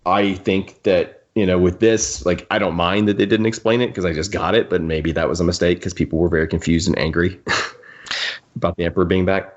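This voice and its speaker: A man with a low voice talks casually as a podcast co-host. He rambles and cuts himself off in the easy style of a fan discussion. The sound is a little dull and lo-fi. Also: little pitch movement, brisk.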